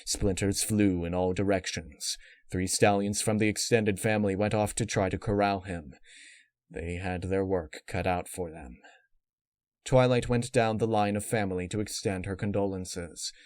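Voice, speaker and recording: A man reading scripted dark fan fiction as narrator, in a measured, dramatic way. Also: low voice, no echo, clean studio sound. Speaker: story narrator.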